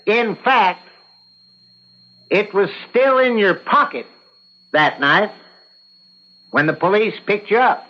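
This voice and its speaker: A man's voice: high-pitched, expressive. A man acting a methodical courtroom attorney, formal and persistent in questioning, in an old-time radio drama. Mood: pointed, skeptical. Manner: formal, deliberate, probing. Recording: dull lo-fi vintage radio recording.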